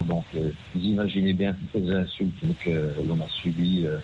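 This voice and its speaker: A man's voice: sits low, steady tone, normal speed. An older man raised in Belgium, giving a phone interview in a hesitant way, with many fillers and repeated words. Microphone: telephone. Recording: tinny phone line with faint noise.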